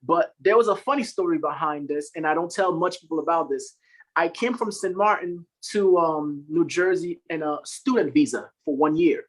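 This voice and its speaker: A man's voice: fairly high pitch, moderate pace, poor audio. A man in his thirties from Haiti, a guest on a podcast, talking casually in an earnest, upbeat way and laughing easily. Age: 30s. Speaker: podcast guest, Marine staff sergeant. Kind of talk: casual interview talk.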